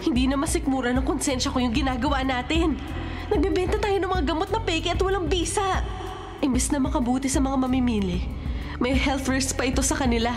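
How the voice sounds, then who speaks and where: A woman playing a wronged, resolute young woman in a radio drama, speaking in a stern, deliberate, dramatic way. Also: high-pitched, natural voice. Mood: indignant. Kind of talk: Filipino radio drama acting. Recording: dramatic music behind.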